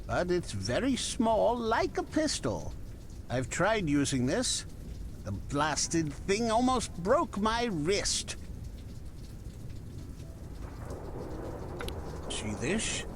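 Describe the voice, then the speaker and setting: A man voicing a chatty, obliging weapons merchant from the musket era in a video game, talking in unhurried salesman patter. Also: sits high, slight background noise, exaggerated voice. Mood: cautionary, amused. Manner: unhurried, conversational salesman patter with dramatic pauses. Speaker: game shopkeeper character.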